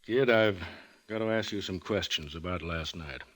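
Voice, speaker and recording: Deep-voiced, grave country sheriff. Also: male voice, a bit bright. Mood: grave, regretful. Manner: measured, folksy, reluctant. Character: grave, sympathetic small-town sheriff. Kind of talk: 1950s radio drama acting.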